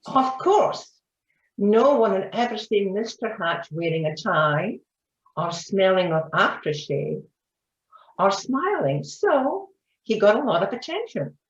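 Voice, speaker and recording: A woman reading scripted storybook narration in an animated way. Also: mid-range voice, slow. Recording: muffled, echoey room recording.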